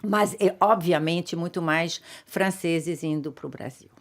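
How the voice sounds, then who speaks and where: Low-voiced woman, thoughtful interview guest. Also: takes it slowly. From Brazil. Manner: thoughtful, hesitant, many filler sounds and restarts. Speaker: interview guest, chamber of commerce president. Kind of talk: interview answers. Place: radio studio.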